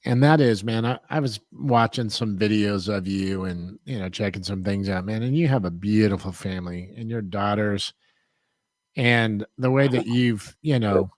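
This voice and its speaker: Earnest man, low voice, podcast co-host. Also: normal speed, dry recording. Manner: earnest, filler-heavy, complimentary. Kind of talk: casual podcast chat.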